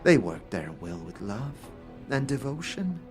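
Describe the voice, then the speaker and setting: A man's voice: medium pitch, sing-song, a little slow, faint music behind. A man playing a brooding, grandiose artisan in a game audio drama, with theatrical, ornate phrasing. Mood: grandiose, musing.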